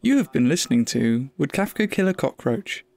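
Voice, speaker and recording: A man's voice: a little high, sing-song, normal speed, creaky, heavy background noise. A man reading scripted podcast credits as an announcer. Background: faint music.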